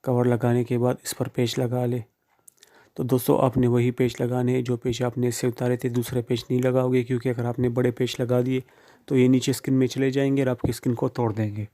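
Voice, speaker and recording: A man's voice: medium pitch, flat delivery, a little fast, mellow. A man giving plain, informal step-by-step how-to instructions, speaking directly to the viewer. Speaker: phone repair tutorial presenter.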